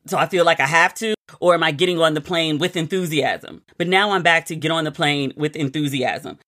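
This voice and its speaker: An American woman hosting a solo podcast, talking in a chatty, animated and confiding way. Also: low-pitched, a little fast. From United States. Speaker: podcast host. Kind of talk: casual solo podcast chat.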